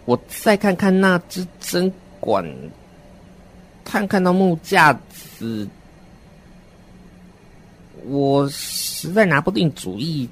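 A man narrating a first-person horror story in a deliberate, dramatic manner. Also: on the high side, lively intonation. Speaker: radio drama narrator and voice actor.

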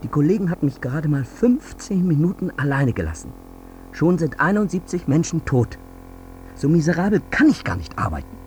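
An actor playing a guilt-ridden, bitterly self-justifying air traffic controller in a radio drama monologue, brooding and intense in clipped sentences. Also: male voice, on the high side, very animated, a little fast, darkish tone. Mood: agitated, accusing.

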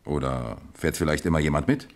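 An actor playing a composed, methodical police captain who questions curtly and officially in a German radio crime drama. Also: male voice, extremely low pitch, a little fast. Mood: probing. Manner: probing, curt, official questioning. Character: methodical police captain investigating a fatal accident, probing, composed.

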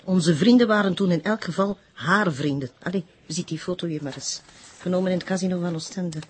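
A woman's voice: fairly low pitch, fairly quick, slightly rough. A woman with a Flemish accent playing an eager, good-humored police officer in a naturalistic TV crime drama, speaking in a colloquial way. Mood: eager, informative.

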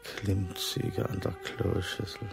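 Casting: bitter radio drama man near breaking, very creaky, dragging delivery. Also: deep voice, flat delivery, slow, music in the background, full character voice. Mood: bitter, brooding. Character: bitter, brooding man close to snapping. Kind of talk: radio play dialogue and brooding monologue.

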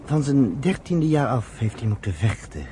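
A man's voice: mid-range voice, sing-song, moderate pace, warm tone, lightly acted. An actor in a Dutch radio play voicing a friendly, earnest man who explains things thoughtfully and patiently. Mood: earnest, narrating. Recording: noisy old radio play recording.

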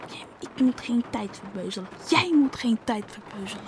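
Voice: medium pitch, moderate pace, natural voice. A performer playing a stubborn, accusing young twin boy who longs for the sea, in a radio drama with noise behind.

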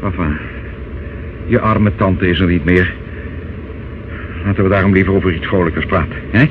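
An actor in a Dutch radio play, playing a self-satisfied, menacing older uncle who wheedles and talks down in a hesitant, smooth way. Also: male voice, deep voice, moderate pace, close to an everyday voice. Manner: wheedling, hesitant, condescending, calls listener child. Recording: dull, noisy old radio recording.

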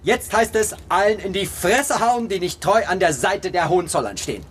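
An actor playing a brash, hot-headed crown prince, eager and belligerent in a radio drama. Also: male voice, high-pitched, animated, normal speed, a bit bright, slight background noise.

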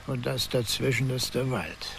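An actor in a radio drama playing a courteous, diffident settler who speaks haltingly and searches for words. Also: male voice, medium pitch, creaky, fairly bright tone, a little hiss or hum. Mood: eager, explaining. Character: Ed, diffident, courteous settler planning farmland, deferential. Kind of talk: naturalistic German radio drama acting.

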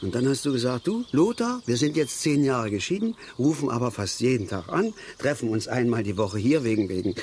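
An actor playing a middle-aged father and keen angler in a radio drama, blunt and aggrieved, speaking haltingly and repeating his words. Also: medium pitch, expressive, a little mellow, slight background noise, natural voice. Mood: aggrieved, defensive. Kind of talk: naturalistic German radio drama acting.

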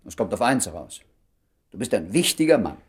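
Husky lowish voice, frank doctor friend, wry, gently needling, radio play. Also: male voice, big pitch swings, brisk, full character voice. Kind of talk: German radio drama acting.

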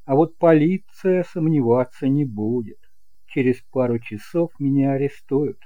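A man playing a nervous suspected doctor with a forced, resigned calm in an amateur audio drama. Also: mid-range voice, unhurried, no room sound, dull audio, lightly acted. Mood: bitter resignation.

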